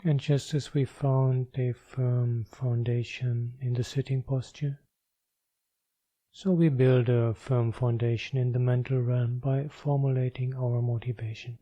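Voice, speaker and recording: A man leading a guided meditation as a teacher, speaking in measured, deliberate phrases of gentle instruction. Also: mid-range voice, little pitch movement, dry recording, muffled recording.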